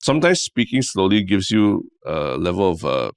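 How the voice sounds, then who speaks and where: A very deep-voiced young man with a Singaporean accent, chatting on a podcast. He explains at length in a measured, unhurried way, stringing long sentences together. The sound is close and dry. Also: sing-song, no echo. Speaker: podcast co-host. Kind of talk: casual conversational chat.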